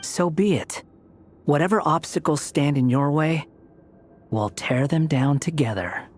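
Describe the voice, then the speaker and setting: A man's voice: fairly high pitch, a little slow, darkish tone, lightly acted. A man voicing an aloof, curt but protective young man in a video game, in a challenging manner. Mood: determined. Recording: echoing long reverb, music behind.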